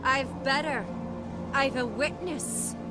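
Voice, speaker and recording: A woman's voice: high voice, slow pace, over loud music. An actress playing a bold, determined young clanswoman in a fantasy game, speaking directly and resolutely. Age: young adult. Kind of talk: dramatic fantasy game acting. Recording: dramatic score behind dialogue.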